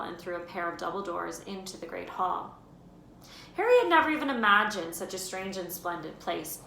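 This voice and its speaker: A woman teacher reads a storybook aloud with an expressive touch. Her voice is bright and clear. The room adds echo and a faint hiss. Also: fairly low pitch. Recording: roomy with faint noise.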